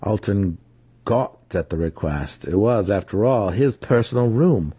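A man's voice: on the low side, lively intonation, unhurried, warm tone, slightly rough, no room sound. A man giving a dramatic scripted reading of a fantasy novel as its narrator. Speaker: audiobook narrator.

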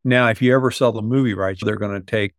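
A man chatting casually as a podcast host, musing in halting phrases. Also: lowish voice, fairly even intonation, dry recording, clean studio sound. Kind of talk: casual conversation.

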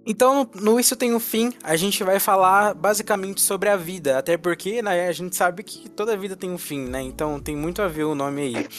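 A man's voice: high voice, fairly quick, hardly any pauses, faint music behind. A man in his twenties hosting a casual podcast chat, rambling and joking. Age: 20s. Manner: rambling, joking, laughs easily. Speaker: podcast host.

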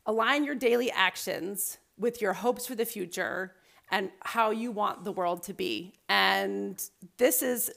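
A woman's voice: mid-range voice, unhurried, gravelly, fairly bright tone. A woman hosting a podcast, talking in an upbeat, conversational way with occasional fillers. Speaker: podcast host. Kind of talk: podcast intro and interview.

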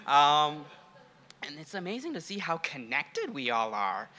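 A man's voice: fairly high pitch, huge pitch swings, measured pace, pushed and bright. A young man from Sri Lanka and India speaking to a congregation in an informal, conversational sermon style, sharing personal stories. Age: young adult. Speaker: guest preacher, grad student.

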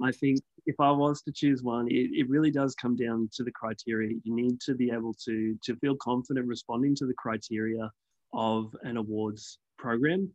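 Measured male awards consultant. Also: mid-range voice, dull audio. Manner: measured, many ums and restarts. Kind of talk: explaining steps in a webinar.

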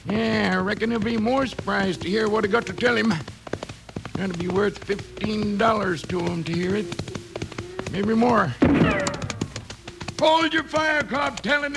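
An actor playing a sly, money-grubbing old frontiersman with a folksy Western drawl and a gravelly voice in a radio western. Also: high-pitched. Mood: sly, eager. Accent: American Western drawl. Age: older. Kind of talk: 1950s radio western acting. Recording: noisy vintage radio recording.

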